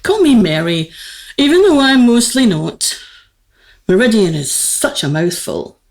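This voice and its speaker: A woman's voice: on the high side, very animated, unhurried, a little mellow. A woman playing a young, gracious and candid queen in a radio play, with formal, poised phrasing. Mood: playful, curious. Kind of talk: theatrical radio play dialogue, courtly.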